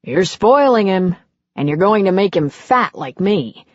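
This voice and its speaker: An easygoing, teasing ordinary older man, as a woman narrator voices him, slow and amused, without a lowered pitch. Her voice is slightly husky and lively. The recording is dry and lo-fi. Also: no room sound. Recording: dry, dull lo-fi audiobook recording.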